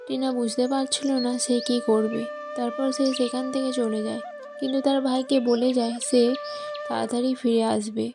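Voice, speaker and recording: A woman's voice: fairly high pitch, level tone, normal speed, gravelly, over music. A woman reading scripted storytelling narration for a cartoon moral tale.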